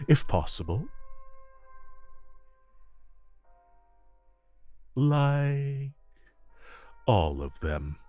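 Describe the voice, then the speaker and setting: A man playing a smug, flirtatious supervillain in a romantic audio roleplay, coaxing and teasing the listener with drawn-out phrasing. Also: fairly low pitch, darkish tone, no room sound. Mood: dry, insistent.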